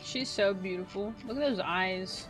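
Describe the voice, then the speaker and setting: A woman reacting to a video game in casual, slangy chat, playful and quick to exclaim. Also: mid-range voice, soft background music, rough recording. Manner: slangy, playful, exclamatory, sing-song asides.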